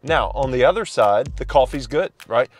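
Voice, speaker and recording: A man in his thirties, loud and chatty, reviewing a coffee shop from inside a parked car and talking to a phone camera held at arm's length. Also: mid-range voice, expressive, moderate pace. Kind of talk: casual vlog review.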